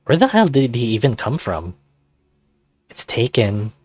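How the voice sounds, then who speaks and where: A man's voice: medium pitch, huge pitch swings, brisk, a little mellow, no echo. A man reading scripted first-person narration from a visual novel.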